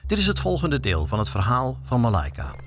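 A male reporter narrates a documentary podcast with a lively, varied melody. His pitch is medium, and he speaks straight to the listener like a storyteller. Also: fairly quick, slight background noise. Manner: addresses listener directly, storytelling.

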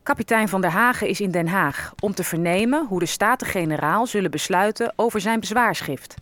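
A woman's voice: mid-range voice, a little fast, fairly bright tone, no echo, lightly acted. An actress playing a dignified freed captive who narrates her people's story in a historical audio drama. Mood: dignified, matter-of-fact.

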